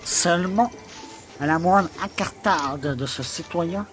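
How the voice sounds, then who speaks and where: An actor playing a pompous, officious village mayor in an audio drama, solemn, emphatic and formal. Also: male voice, fairly high pitch, takes it slowly, fairly bright tone, faint music behind. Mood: stern, instructing. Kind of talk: French audio drama acting.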